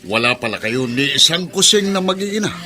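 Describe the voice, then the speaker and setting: An actor playing a meddling, scornful middle-aged brother-in-law in a Filipino radio drama, blunt and needling. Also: male voice, mid-range voice, sing-song, fairly quick, bright, forceful tone.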